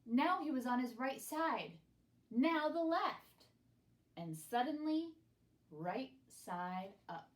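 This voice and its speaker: A woman reading a children's picture book aloud expressively, with deliberate, dramatic phrasing and pauses. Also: high voice, animated. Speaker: storybook reader.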